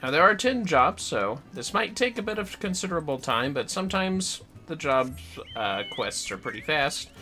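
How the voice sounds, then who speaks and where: A man's voice: on the high side, big pitch swings, normal speed, sharp tone, soft background music. A man hosting a gaming livestream with casual commentary, rambling a little as he plans aloud. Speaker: livestream host.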